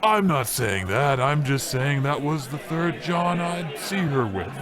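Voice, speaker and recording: Man playing slow, shifty bartender amid crowd chatter. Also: fairly high pitch, expressive. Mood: evasive. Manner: halting, evasive. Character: shifty, vain, nervous male dive-bar bartender, secretly guilty. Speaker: bartender in a radio play. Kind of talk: scripted comedy in old radio drama style.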